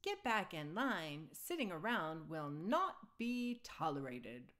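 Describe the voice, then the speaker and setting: A woman's voice: sits low, sing-song, slow, fairly bright tone, heavily acted. A woman voicing a bossy, mock-stern cartoon dog dad from a storybook, with a growling, commanding manner.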